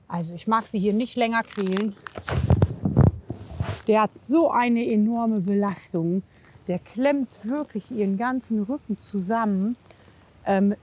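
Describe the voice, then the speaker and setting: A woman's voice: mid-range voice, a little mellow, husky. A woman giving a casual hands-on demonstration outdoors, coaxing with short repeated reassurances and explaining as she works. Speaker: horse physiotherapist and trainer. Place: outdoors at a horse yard in wind and rain.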